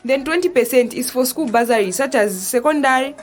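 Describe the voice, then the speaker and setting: Woman acting a bright young Zambian explainer, higher-pitched voice. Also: brisk, close to an everyday voice. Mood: earnest, explanatory. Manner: patient, instructive explaining. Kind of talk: acted educational audio drama.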